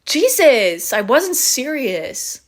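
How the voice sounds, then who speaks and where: A woman's voice: sits high, big pitch swings, takes it slowly, full character voice. Wry, teasing young vampire woman, acted by a woman. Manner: casual, wry, teasing, swearing freely. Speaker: audio roleplay voice actor. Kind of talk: intimate scripted audio roleplay, conversational.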